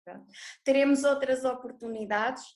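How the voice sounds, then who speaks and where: A woman with a European Portuguese accent, a guest in a casual conversation who speaks gently and politely. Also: high-pitched, moderate pace, echoey room.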